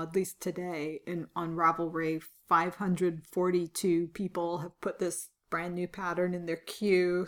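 A woman co-hosting a casual podcast chat, her voice a little scratchy, talking through details and chuckling now and then. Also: lowish voice. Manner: relaxed, chuckling, describes details. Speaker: podcast co-host.

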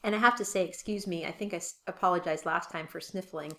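A woman's voice: lowish voice, fast. A woman co-hosting a casual podcast chat, her voice scratchy from allergies, laughing easily as she talks. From California. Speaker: podcast co-host.

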